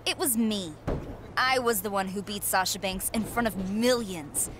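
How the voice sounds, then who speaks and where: A woman's voice: medium pitch, a little slow, background noise, natural voice. A woman voicing a confident young female rookie wrestler who talks coolly. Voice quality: confident, cool.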